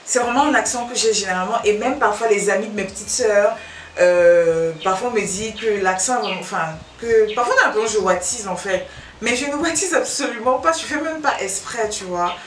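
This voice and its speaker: A woman's voice: mid-range voice, fairly quick, a little hiss or hum. A woman from Cameroon with a Belgian-tinged Cameroonian French accent, chatting casually to camera as a YouTuber, repeating phrases and trailing off. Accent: Cameroonian French with a Belgian touch. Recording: echoey room, mic at a distance.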